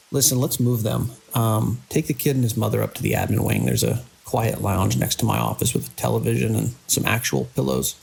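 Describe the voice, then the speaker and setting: Man acting as hospital director, audio drama, very gravelly, husky, animated pitch, officious, downplaying crisis. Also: heavily acted, poor audio. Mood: exasperated, controlled. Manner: brisk, officious, dismissive reassurance.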